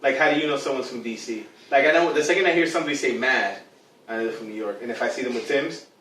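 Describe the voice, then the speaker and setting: A man's voice: medium pitch, quick pace, fairly bright tone, slight background noise. A man hosting a casual podcast chat, joking and swearing freely. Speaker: podcast host. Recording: very echoey room, far from mic.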